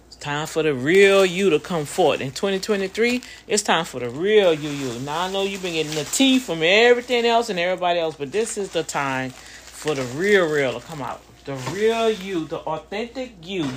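A woman's voice: fairly low pitch, expressive, normal speed, a little hiss or hum. A woman in her fifties giving a casual motivational talk, conversational and preachy, speaking straight to her listeners. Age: 50s.

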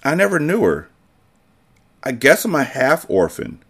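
Man putting on a curious young girl, pitched up. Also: huge pitch swings, a little slow, gravelly, slightly rough, heavily acted. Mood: sympathetic.

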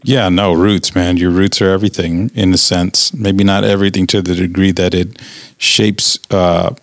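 Gravelly low-voiced male interviewer. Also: normal speed, no echo, clean studio sound. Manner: energetic, many "uh" fillers. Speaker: podcast host interviewing coaches. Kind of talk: casual interview.

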